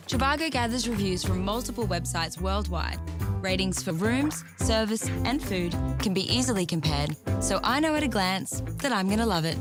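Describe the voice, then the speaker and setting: Actress as confident woman traveller in a hotel ad, medium pitch, loud music behind. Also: natural voice. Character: keen, confident hotel guest in a travel advert. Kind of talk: scripted advert monologue.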